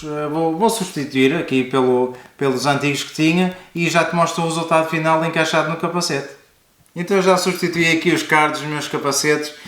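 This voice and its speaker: A man's voice: on the high side, a bit bright, a little hiss or hum. A man with a European Portuguese accent giving a casual, explanatory product review, talking directly to the viewer. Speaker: product review video host. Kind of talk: casual product unboxing and review talk to camera. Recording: echoey, roomy indoor sound.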